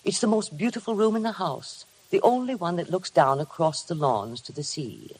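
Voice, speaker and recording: An actress playing a cold, formal middle-aged housekeeper in a 1950s radio drama, measured and stiffly deferential. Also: female voice, fairly low pitch, lively intonation, a little mellow, breathy edge, rough recording. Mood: slow, insinuating.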